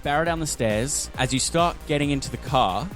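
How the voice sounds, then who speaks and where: A man's voice: a little high, a little fast. A man narrating a tabletop roleplaying game as the game master. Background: music.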